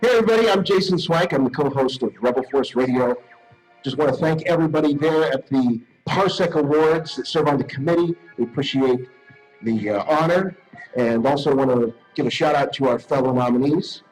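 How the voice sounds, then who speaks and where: A man's voice: mid-range voice, a little fast, darkish tone, poor audio. A man who co-hosts a podcast, giving a recorded acceptance speech with thanks. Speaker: podcast co-host accepting an award. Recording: music behind the voice. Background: music.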